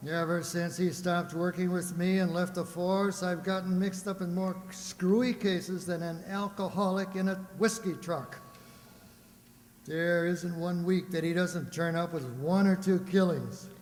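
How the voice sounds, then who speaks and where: A man's voice: high voice, fairly even intonation, unhurried, darkish tone. A man playing a sardonic, exasperated police lieutenant in an old-time radio drama style, in a very reverberant recording. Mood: grumbling, exasperated. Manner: sardonic, grumbling, officious. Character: exasperated, sarcastic male police homicide lieutenant. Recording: very reverberant room or hall.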